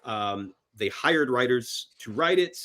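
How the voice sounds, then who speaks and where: A man's voice: mid-range voice, animated, slow pace, sharp tone. A man telling film-history anecdotes casually and emphatically as a podcast guest, often slipping into quoted dialogue.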